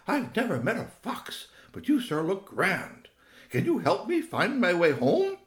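A man's voice: sits high, huge pitch swings, measured pace, reverberant, pitched above the performer's own voice, full character voice. A man voicing a small lost baby owl in a children's story, earnest and polite, exclaiming and repeating words. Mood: awed.

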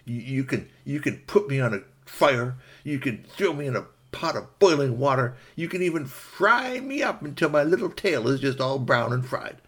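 A frantic, wily little storybook rabbit, played by a male storyteller. He begs in repeated, stammering bursts, pitched high with big swoops and a husky edge. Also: expressive, normal speed, few pauses, a bit bright. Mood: resigned pleading. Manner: pleading, stammered repeated words. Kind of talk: animated oral folktale storytelling.

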